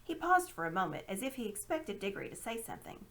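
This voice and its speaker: A woman reads a story aloud as its narrator. Her voice sits at a medium pitch and moves with a lot of variety, giving the prose an expressive storybook feel. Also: quick pace, gravelly. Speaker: reader.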